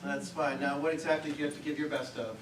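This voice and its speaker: A woman on stage playing a dry, unimpressed husband in a comedy, deadpan and skeptical. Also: low-pitched, fast talker, lightly acted. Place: theater stage, recorded from afar. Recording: echoey theater, distant mic, some noise.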